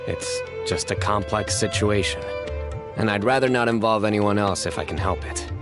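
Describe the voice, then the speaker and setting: A grave, reserved young warrior from a fantasy game, played by a man who speaks in short, clipped lines. His voice sits low. A clear game score runs behind him. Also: normal speed, over music, lightly acted. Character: stern young male desert warrior investigator.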